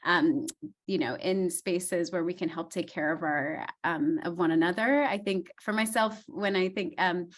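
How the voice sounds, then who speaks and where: A woman's voice: fairly low pitch, unhurried. A woman in her thirties, an obstetrician, hosting an online panel in a casual, encouraging way with frequent ums.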